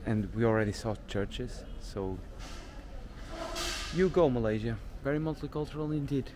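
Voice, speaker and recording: Man, travel vlogger, relaxed and chatty, recorded amid street noise. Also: mid-range voice. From Portugal. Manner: easygoing, quick to laugh, many yeahs. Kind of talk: casual travel chat. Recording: noisy outdoor street.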